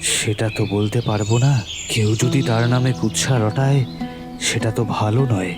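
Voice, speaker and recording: A man playing an older, well-off gentleman in an audio drama, courteous yet defensive and disdainful, speaking deliberately. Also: mid-range voice, steady tone, over loud music, lightly acted.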